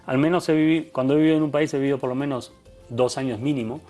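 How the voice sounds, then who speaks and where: A man from Argentina with a softened Argentine accent, speaking as a photographer guest in a conversational interview, thoughtful and full of restarts. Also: medium pitch, fairly even intonation, fast talker, soft background music. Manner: thoughtful, many restarts and tag questions.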